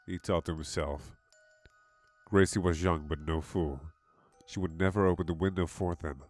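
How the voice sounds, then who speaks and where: Low-voiced man narrating horror. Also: fairly even intonation, normal speed, a little mellow. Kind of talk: dramatic scripted horror narration. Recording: music behind the voice.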